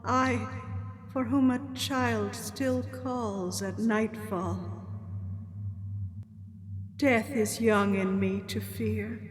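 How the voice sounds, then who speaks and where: Mournful female spirit, low-pitched, extremely slow incantation, cavernous reverb, radio verse drama. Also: steady tone. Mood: grave, mournful. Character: sorrowful woman four days dead, returned as prophetic spirit. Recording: long cavernous reverb with music.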